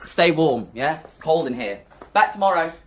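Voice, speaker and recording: Animated, kindly flatmate guy. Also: fairly high pitch, moderate pace, slightly rough. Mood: kindly, hurried. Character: kindly, busy male flatmate who works as a carer. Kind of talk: radio play acting.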